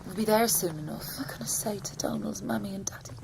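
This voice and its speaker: A woman's voice: medium pitch, quick pace, background noise. A woman playing an anxious working-class young mother who fears for her husband, in an intimate audio drama monologue. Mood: grieving, self-steeling. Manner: hushed, breathy inner thoughts broken by spoken-aloud outbursts. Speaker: actor in a solo audio play.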